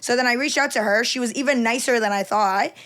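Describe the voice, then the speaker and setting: A woman's voice: a little high, quick pace, a bit bright. A young woman hosting a podcast, talking in a bubbly, enthusiastic and rambling way. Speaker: podcast host. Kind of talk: casual podcast interview.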